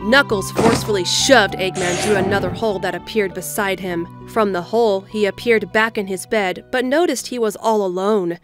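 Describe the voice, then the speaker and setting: A woman's voice: mid-range voice, moderate pace, few pauses. A woman reading scripted story narration as the narrator of an audio drama. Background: background music.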